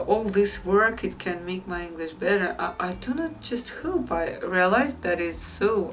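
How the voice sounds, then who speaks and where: Russian lady vlogging in english, slow and chatty with lots of ums, mic a bit far off. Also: medium pitch, a bit bright, a little hiss or hum. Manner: unhurried, repeats phrases, frequent fillers. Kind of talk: casual vlog to viewers.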